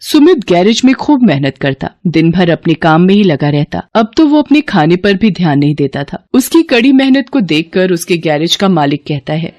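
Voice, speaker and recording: A woman's voice: on the low side, very animated, normal speed, hardly any pauses, darkish tone, dry recording, highs cut off. A woman narrating a scripted moral story for children.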